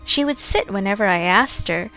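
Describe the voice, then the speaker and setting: A woman's voice: medium pitch, lively intonation, moderate pace, pushed and bright, faint music behind, poor audio. A woman voicing a genteel, chatty, self-satisfied young lady of Regency society in a storybook reading. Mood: chatty, fond.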